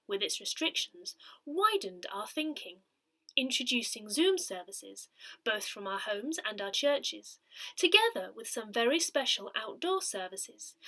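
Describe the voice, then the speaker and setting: A woman reading a church newsletter aloud in a measured, carefully phrased way. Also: high voice, sharp tone. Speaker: volunteer reader of an audio newsletter.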